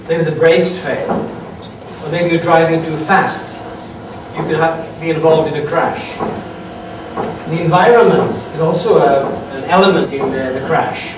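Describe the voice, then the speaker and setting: A middle-aged man from Wales, his Welsh accent shaded by years of speaking Swedish, lectures a conference audience. He hesitates often and slips in dry humor. His slightly high voice carries from a distance through a heavily echoing hall with clear background noise. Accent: Welsh with Swedish influence. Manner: halting, many uh fillers, dry humor. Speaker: forensic science lecturer. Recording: echoey hall from far off, noisy.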